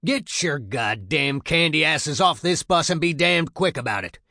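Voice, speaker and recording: A man voicing a furious, contemptuous Marine drill sergeant in a memoir, barking gruff, foul-mouthed orders. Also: on the high side, animated, measured pace, no room sound, studio quality, voice pushed up, exaggerated voice. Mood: barking, impatient. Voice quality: loud, gruff. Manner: barking, berating commands.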